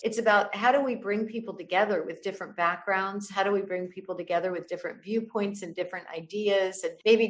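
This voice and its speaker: A woman speaking as a communication coach, giving conversational expert advice in an explanatory way with examples and rhetorical questions. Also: medium pitch. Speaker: communication coach, interview guest.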